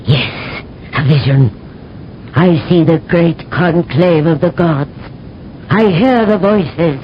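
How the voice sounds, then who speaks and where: A commanding alien empress. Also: female voice, sits low, slow pace, slight background noise. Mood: imperious. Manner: regal, commanding, theatrical pronouncements. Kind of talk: 1970s radio drama acting. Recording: slightly dull vintage radio broadcast.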